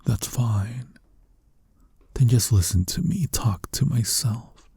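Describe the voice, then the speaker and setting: A man playing a flirty, tender incubus in an intimate ASMR roleplay, speaking softly and teasingly with a breathy, husky voice. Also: mid-range voice, animated, no room sound, exaggerated voice. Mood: tender, soothing. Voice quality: soft, breathy, husky, often near a whisper. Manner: teasing, coaxing, slow and intimate. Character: flirty, tender male incubus lust demon comforting a lover.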